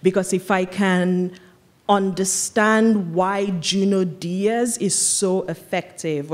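A woman in her thirties from Nigeria speaks on a large auditorium stage into a stand microphone, her voice sitting a little low. She talks in a thoughtful, explanatory way, circling back with "like" and "um" as she builds her points. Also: a little mellow. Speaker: guest artist. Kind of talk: onstage conversation before an audience.

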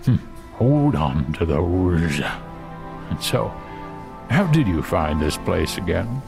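An actor playing a bookish, courteous, gently amused male scholar in a fantasy audio drama, with a deliberate, musing way of talking full of hums. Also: low voice, sing-song, vocal fry, music in the background, heavily acted. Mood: gentle.

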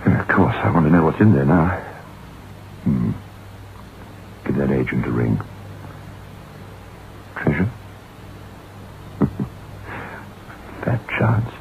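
An actor playing a brooding, reflective novelist who tells his haunted tale in measured 1960s radio drama style, on a tinny, noisy old recording. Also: extremely low pitch, sing-song, full character voice. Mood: puzzled, musing.

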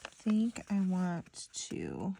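A woman's voice: lowish voice, huge pitch swings, slow, gravelly, dark tone, a little hiss or hum, low-quality recording. A young woman with a slightly stuffy, nasal voice, rambling casually to viewers while decorating a planner. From Michigan. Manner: rambling, chatty, many "like"s, talks to viewers. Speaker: planner vlogger.

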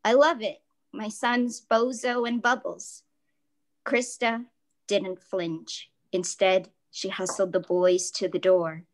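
A woman in her forties, an author, reading fiction aloud calmly and chatting about it on a video call from home. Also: mid-range voice, takes it slowly. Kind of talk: literary reading and casual discussion. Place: home room with floral wallpaper.

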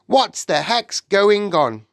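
A narrator voicing a greedy, indignant young boy in a storybook, loud, blunt and demanding. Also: mid-range voice, sing-song, slow, gravelly, no room sound, studio quality, voice pushed up, full character voice. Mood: indignant, suspicious.

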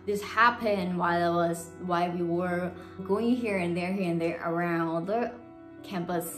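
A woman's voice: lowish voice, a little slow, faint music behind. Chatty Thai woman vlogging in echoey room. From Thailand. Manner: chatty, expressive, gestures with hands. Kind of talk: casual storytelling to camera. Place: small home room, indoors.

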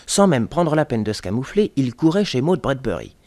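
A man narrating a French radio detective drama in measured storytelling. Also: mid-range voice, big pitch swings, a little mellow, dry recording.

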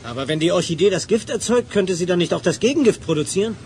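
An actor playing a brave, hot-headed crime-fighter who is gruff but protective, in a 1980s German radio drama. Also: male voice, high voice, lively intonation, fast, darkish tone, soft background music. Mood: serious.

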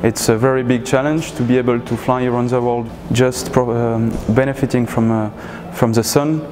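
A man's voice: medium pitch, little pitch movement, moderate pace, noisy background. A man giving hesitant interview answers into a lapel mic. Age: 30s. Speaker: air traffic control staff interviewee. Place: indoor atrium with plants. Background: background music.

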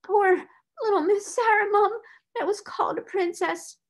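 A woman's voice: very high voice, moderate pace, pitched above the performer's own voice. A woman voicing a timid, tearful young scullery maid who stammers and pleads in humble, uneducated servant speech for a storybook. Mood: tearful pleading.

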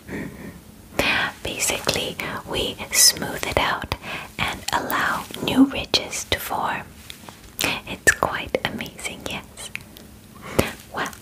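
A young woman plays a calm alien examiner in an ASMR roleplay. The voice is a soft whisper, delivered extremely slowly and deliberately. The wording is formal and clinical, with a soothing, reassuring manner, right up close to the mic. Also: lightly acted, rough recording. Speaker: ASMR performer. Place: small bedroom, indoors. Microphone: close microphone.

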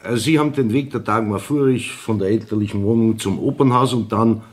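An actor with an Austrian accent playing a businesslike senior detective chairing a briefing in a radio drama. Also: male voice, lowish voice, fairly quick, close to an everyday voice.

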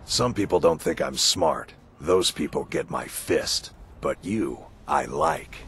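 An actor playing a gruff, slow-witted male warrior brute in a fantasy game, talking bluntly. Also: a bass voice, lively intonation, a bit bright, a little hiss or hum, exaggerated voice. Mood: menacing, friendly. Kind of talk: scripted fantasy game dialogue.

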